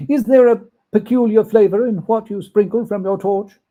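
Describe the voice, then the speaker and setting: A man's voice: high-pitched, steady tone, normal speed, narrow, tinny audio, pitched above the performer's own voice. A man voicing an earnest, challenging old miser in a storybook reading. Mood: curious.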